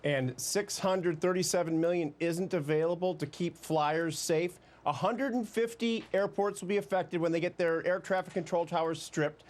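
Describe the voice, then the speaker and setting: An American man with a distinctly high-pitched voice gives TV legal commentary. He argues his case insistently and leans on rhetorical questions to press each point. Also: moderate pace, few pauses. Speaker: lawyer, TV legal panelist. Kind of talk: argumentative TV panel commentary.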